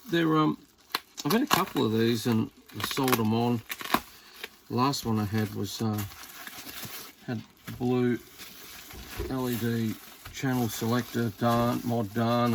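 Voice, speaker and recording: Man, Australian accent, gravelly fry, rambling hobby commentary, informal, slight background noise. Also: mid-range voice. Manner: rambling, informal, thinking aloud with mild swearing. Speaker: hobbyist radio reviewer. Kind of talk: casual unboxing and test commentary.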